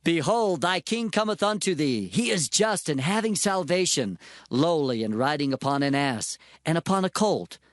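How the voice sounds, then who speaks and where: An actor playing a solemn prophet, declaiming divine oracles in archaic language in a dramatized scripture reading. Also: male voice, fairly high pitch, expressive, moderate pace, no echo, studio quality, lightly acted. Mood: exultant.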